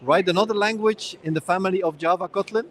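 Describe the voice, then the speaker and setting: A man's voice: sits high, fast. A man with a slightly raised voice hosts a casual interview at a conference. He asks curious, friendly questions and drops in light jokes. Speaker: interviewer, host. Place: conference venue.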